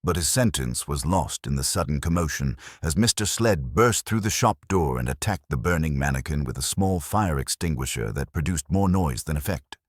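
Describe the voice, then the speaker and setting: A man narrating a scripted mystery story in a measured, literary way with dry irony. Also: very deep voice, animated, slightly rough, no room sound. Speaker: audiobook narrator.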